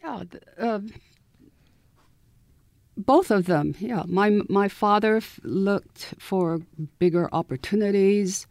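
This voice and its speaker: A woman in her eighties from southern California, telling her story slowly and reflectively as a guest on a radio interview. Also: mid-range voice, creaky, darkish tone, no room sound, studio quality. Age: 80s. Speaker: author and guest.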